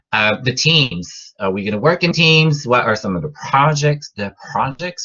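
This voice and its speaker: French-Canadian man lecturing, bright voice. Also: medium pitch, dull audio. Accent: Québécois French. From Quebec, Canada. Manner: rambling, restarts and fillers, rising emphasis on key words. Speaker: college professor. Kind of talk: online class lecture.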